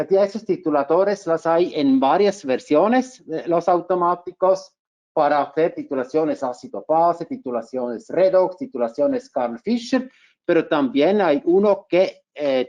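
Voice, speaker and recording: A man's voice: on the high side, animated, unhurried, a little mellow. Swiss German man, careful technical presenter. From Switzerland. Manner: careful, with frequent restarts and fillers. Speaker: market manager presenting a webinar. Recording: muffled lo-fi webinar audio.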